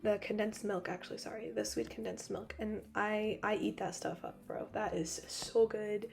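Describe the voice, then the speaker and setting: Hoarse, gravelly young woman, chatty voice-over. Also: mid-range voice, steady tone, moderate pace, faint music behind. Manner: chatty, joking, laughs often, rambling asides. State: hoarse, recovering from losing her voice. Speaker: cooking channel narrator. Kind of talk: casual voice-over narration.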